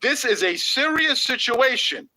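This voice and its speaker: A man's voice: very high voice, big pitch swings, a little slow, pushed and bright, poor audio. A man reading a news article and statement aloud, breaking off for sarcastic rhetorical questions.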